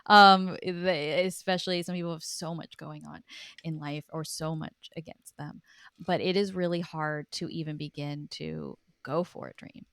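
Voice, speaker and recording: A woman's voice: sits low, fairly even intonation, moderate pace, creaky, fairly bright tone, no echo, clean studio sound. A woman speaking earnestly and informally as an interview guest, reflective and casual, often saying "like". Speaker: guest, writer and author.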